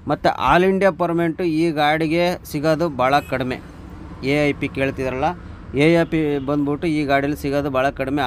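A man, a truck driver vlogger chatting casually to his viewers and explaining a vehicle, outdoors with faint traffic noise behind. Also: on the high side. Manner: chatty, addresses viewers as brother and friends.

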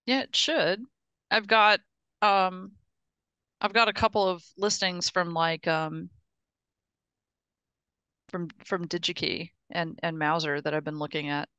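A woman's voice: fairly low pitch, steady tone, takes it slowly, pauses often, pushed and bright, smooth, no echo, lo-fi sound. A woman explaining hardware plans in a technical meeting over a video call, often restarting and repeating words as she thinks aloud. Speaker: engineering project lead in a video call.

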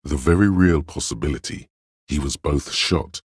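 A man voicing a detective's coolly analytical inner voice of logic in a video game, gravelly and husky, with measured, deliberate delivery. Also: a bass voice, dry recording, clean studio sound. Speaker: game voice actor. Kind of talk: scripted video game narration.

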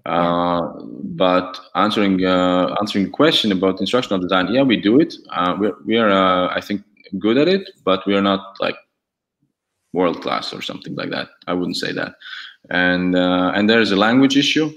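A young man whose first language is Latvian, speaking English as a guest on a video call with a headset, candid and halting with many restarts. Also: low-pitched, lively intonation, a bit bright. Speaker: guest, e-learning template developer. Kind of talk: casual video call chat.

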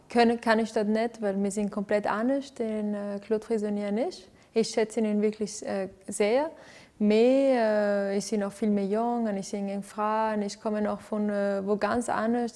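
A woman's voice: mid-range voice, warm tone. A woman, answering interview questions in a living room with many fillers and restarts. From Venezuela. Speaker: interviewee, arts director. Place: living room with lights set up for filming.

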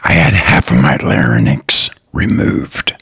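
A man hosting his own podcast, talking slowly and earnestly in a raspy, partly whispered voice. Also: a bass voice, lively intonation, a bit bright, no room sound. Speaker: podcast host, lawn care business owner. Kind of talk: slow, earnest solo podcast talk.

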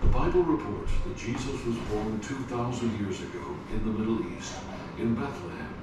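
Man, low-ish voice, measured narration, echoey room, heavy noise. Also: animated. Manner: measured, posing rhetorical questions. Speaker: documentary narrator. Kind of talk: scripted narration. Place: documentary audio played in a garage gym. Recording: echoey, distant playback with loud noise.

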